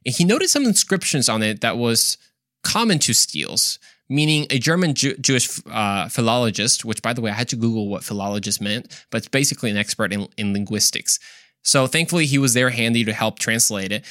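A man's voice: medium pitch, fairly quick, sharp tone, no room sound, studio quality. A man hosting a podcast, telling stories casually and conversationally in a playful, joking manner with exclaimed asides. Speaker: podcast host. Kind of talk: casual, conversational storytelling to listeners.

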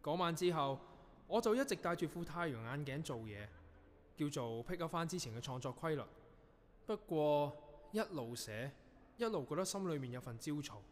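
A man's voice: fairly high pitch, lively intonation, moderate pace, slight background noise, close to an everyday voice. An actor playing a weary, grumbling young male composer in a radio drama. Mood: brooding. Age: young adult. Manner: grumbling, dry, sighing. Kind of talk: Cantonese radio drama acting.

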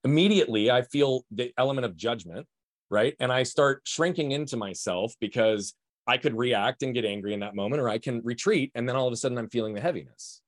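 Fast, loud, high-energy man. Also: dry recording, studio quality. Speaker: guest, performance coach. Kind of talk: podcast interview, emphatic explaining.

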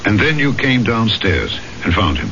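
An actor playing a stern, probing magistrate, brisk and authoritative, in 1970s radio drama style. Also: male voice, fairly low pitch, huge pitch swings. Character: stern, probing male French magistrate, impatient and dutiful. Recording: muffled 1970s radio recording, clear hiss.

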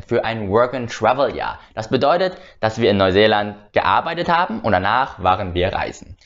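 A young man from Germany hosting his own channel, telling a personal story casually and hesitantly, with frequent filler sounds and restarts. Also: mid-range voice. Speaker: channel host. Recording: slightly dull lo-fi sound.

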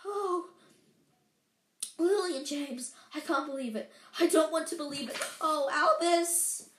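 A woman's voice: sits high, unhurried, pitched above the performer's own voice. A woman voicing a stern, anxious elderly woman professor who stammers and restarts in tearful, breathless outbursts. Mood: shocked. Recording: roomy echo.

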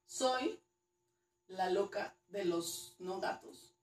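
A woman's voice: mid-range voice, extremely slow, a little mellow, reverberant, distant mic, slight background noise. A woman with a Mexican accent, a guest archaeologist chatting casually, hesitant and repeating words now and then. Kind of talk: casual interview chat.

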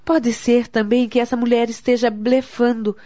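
A woman acting a worried grown daughter in a radio soap opera. She speaks gravely and with composure in a fairly high voice, on a dry, dull recording. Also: level tone, normal speed, warm tone, no echo, natural voice. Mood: calming. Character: worried adult daughter, composed, calming her anxious mother.

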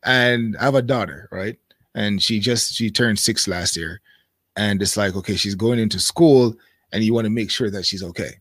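A man in his thirties, a guest in a casual interview, speaking thoughtfully with many pauses and fillers. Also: lowish voice, a bit bright, no room sound, studio quality.

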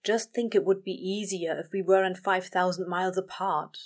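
A woman's voice: on the low side, fairly even intonation, lightly acted. Woman voicing a weary, petulant male demon, drawling. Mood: weary, pleading. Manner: drawn-out, exasperated grumbling.

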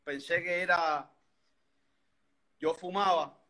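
A man in his thirties with a Cuban accent tells his own story in a high, bright voice. He talks earnestly, circling back and restarting his sentences often. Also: steady tone, slow. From Cuba. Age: 30s. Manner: earnest, rambling, many restarts. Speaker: interview guest. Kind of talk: personal story in conversation.

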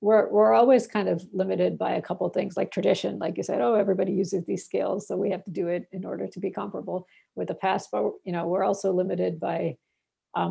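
A woman's voice: on the low side, creaky, warm tone, slightly rough. A young woman from Bangladesh, a researcher, answering interview questions in a rambling way full of fillers and restarts.

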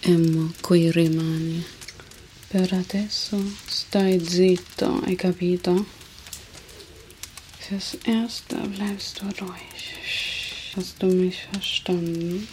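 A woman voicing a seductive, menacing female vampire in an ASMR roleplay, speaking in slow, teasing whispers. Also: on the low side, fairly even intonation, darkish tone, a little hiss or hum.

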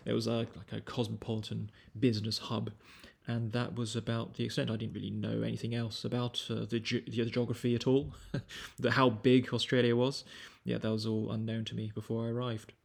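A man in his twenties from the UK, hosting a casual podcast chat in a measured, unhurried way. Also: medium pitch, little pitch movement, creaky, breathy edge. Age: 20s. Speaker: podcast host.

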